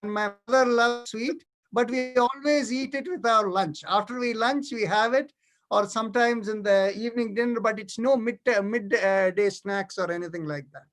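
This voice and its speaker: A man's voice: sits high, normal speed, a bit bright. A man, hosting a yoga class over a video call and talking casually, with many fillers and restarts. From India. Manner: hesitant, full of fillers and restarts. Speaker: yoga teacher and host.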